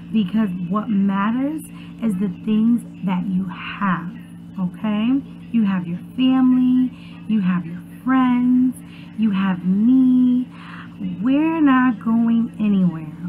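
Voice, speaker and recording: A young woman with a high voice talks to preschoolers as their teacher, reading aloud and chatting. She takes her time and sounds expressive and encouraging. The sound is slightly tinny and muffled, as from a webcam at home. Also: noisy background. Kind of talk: children's read-aloud and chat. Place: small furnished room at home, indoors.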